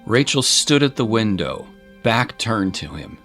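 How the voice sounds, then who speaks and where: A male narrator delivers a scripted dramatic story. His voice is low, and quiet music plays beneath the storytelling. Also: big pitch swings, unhurried.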